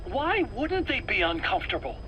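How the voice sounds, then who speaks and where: A self-justifying scientist in a dramatic video game. His voice sits very high for a man and pleads defensively as he answers his interrogator. There is faint noise in the background. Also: wildly swooping pitch, normal speed, sharp tone, full character voice. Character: defensive, whining male weapons scientist under interrogation.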